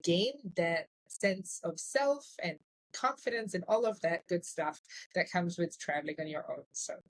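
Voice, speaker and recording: A woman's voice: fairly low pitch, a little slow, no room sound, rough recording. A young woman from Singapore, chatting as a podcast guest and filling her talk with "like" and "you know.". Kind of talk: casual interview chat.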